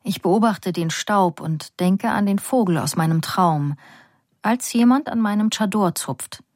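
A woman narrating a first-person story in a calm, measured, vivid way. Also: medium pitch, dry recording, studio quality. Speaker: audiobook narrator.

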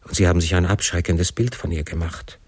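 A man's voice: low-pitched, steady tone, a little fast, a little mellow, breathy edge, no room sound. A man voicing a passionate, desperate young son who pleads fervently and emotionally in an audiobook story. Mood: fervent pleading.

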